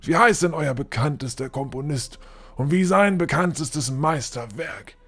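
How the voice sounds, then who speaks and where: A man's voice: on the high side, measured pace, a bit bright, breathy edge, full character voice. A man acting a pompous, condescending older lord with formal, theatrical courtesy in a fantasy audio drama. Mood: mocking, challenging. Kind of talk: fan-made German fantasy audio drama.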